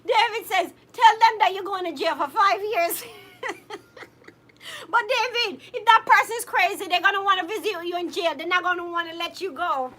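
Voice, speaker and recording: Lady cohosting a live chat, super high voice, laughs a lot, reads out viewer comments. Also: normal speed. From Jamaica. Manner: laughs often, animated, addresses viewers by name. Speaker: co-host reading viewer comments. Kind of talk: casual live-stream chat.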